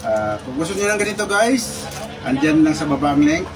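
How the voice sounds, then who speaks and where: A Filipino man giving a casual, halting unboxing talk to his viewers, often repeating his words. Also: fairly high pitch, sing-song. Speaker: vlogger presenting a product unboxing. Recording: distant mic, clearly noisy background with birds.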